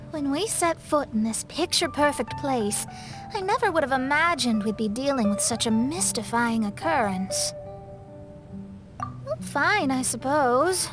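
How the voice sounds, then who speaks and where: A woman's voice: sits high, lively intonation, a little slow, over music. A woman voicing a proud young female astrologer in an anime-style video game, articulate, earnest and slightly haughty. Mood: explaining.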